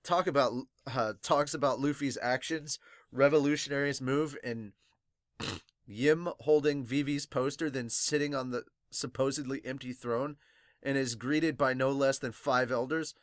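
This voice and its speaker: A man's voice: medium pitch, fairly even intonation, measured pace, no room sound, studio quality. A man hosting a podcast, reading manga aloud and giving his reactions to it. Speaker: podcast host and reader. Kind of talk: dramatic manga read-aloud and excited reaction commentary.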